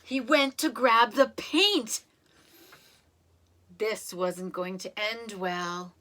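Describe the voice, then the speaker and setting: A woman reading a children's story aloud in an expressive, animated way, with dramatic emphasis and drawn-out words. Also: on the high side. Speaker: storybook reader.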